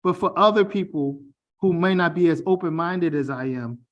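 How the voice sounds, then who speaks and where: A man's voice: on the high side, moderate pace, highs cut off. A middle-aged man from Baltimore with a mostly standard American accent and a slight Baltimore tinge, giving an online information session in a direct, conversational way and often checking in with "okay?" and "all right?". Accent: General American, mild Baltimore. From Baltimore, United States. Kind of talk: online presentation. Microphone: video call.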